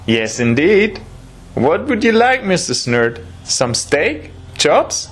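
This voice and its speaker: A man playing a greedy, scheming small-town butcher in a horror audio drama, expressive and furtive. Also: a little high, slow, slightly rough, full character voice. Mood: ingratiating.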